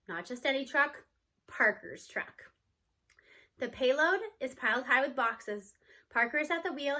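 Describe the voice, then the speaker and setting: A woman reads a children's novel aloud. Her voice is high and bright, in the style of scripted story narration.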